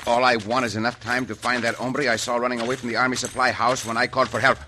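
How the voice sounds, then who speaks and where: A man's voice: medium pitch, level tone, fast, close to an everyday voice. Man playing a bold Mexican cowboy hero, noisy recording. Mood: determined. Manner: formal, uncontracted phrasing, urgent and authoritative. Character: bold, commanding Mexican cowboy hero, quick-witted and decisive. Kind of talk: old-time radio western acting. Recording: noisy vintage radio recording with clicks.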